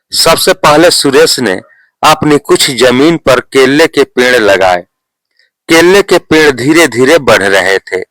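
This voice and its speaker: A male storyteller reads a scripted moral tale. His delivery is very slow and deliberate, his pitch sits in the middle, and the sound is dry and close. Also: a bit bright, no echo, rough recording.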